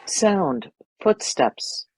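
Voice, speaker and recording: A woman's voice: mid-range voice, expressive, extremely slow, breathy edge. A woman reading out sound effect cues from a radio play script. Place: live video call performance. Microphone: video call microphone.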